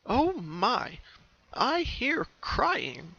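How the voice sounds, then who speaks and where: A gentle old grandmother pony, acted out by a man for a story reading. The voice is raised high and soft, soothing and solemnly warm, with slow consoling phrases. The recording is dull and muffled. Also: huge pitch swings, vocal fry, dry recording, exaggerated voice. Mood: tender concern. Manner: slow, tender, consoling delivery.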